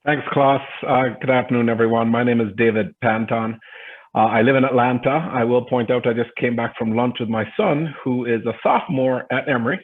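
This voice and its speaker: A Jamaican man in his forties, an adjunct professor introducing himself to prospective students with relaxed, self-deprecating humor. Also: mid-range voice, fairly quick. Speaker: adjunct professor and private equity investor. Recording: tinny phone-like audio.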